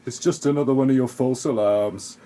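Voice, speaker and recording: A woman's voice: a bass voice, fairly quick, dark tone, pitched below the performer's own voice. A woman voicing a grumpy, dismissive, sleepy dad in a parody audio play.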